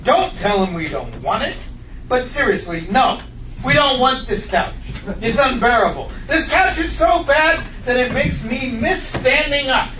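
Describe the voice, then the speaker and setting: A man's voice: very high voice, expressive, a little slow, pushed and bright, heavily acted. A man playing a grumpy, blunt, bickering husband who complains as a customer in a comedy table read. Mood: exasperated. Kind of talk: comedy script table read before an audience. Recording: roomy, distant room recording with faint noise.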